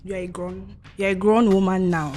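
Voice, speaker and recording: A woman's voice: mid-range voice, steady tone, moderate pace, dark tone, soft background music. A woman in her twenties giving a friend earnest advice in a casual chat. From Nigeria. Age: 20s. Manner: advising, earnest.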